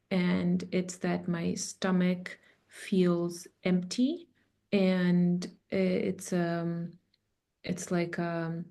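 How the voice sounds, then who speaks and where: Slow, gravelly-voiced woman facilitator. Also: fairly low pitch. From Russia. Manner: slow and soft, many pauses and ums. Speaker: circle facilitator. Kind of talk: guiding an online sharing circle. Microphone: video call.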